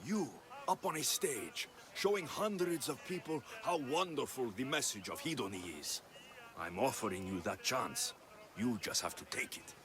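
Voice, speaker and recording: An actor playing a confident, wry young Greek mercenary hero in a video game, speaking in a direct, assured manner. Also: male voice, medium pitch, expressive, moderate pace, crowd noise, lightly acted. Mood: persuasive. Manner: direct, assured, dryly teasing. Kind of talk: video game cutscene acting.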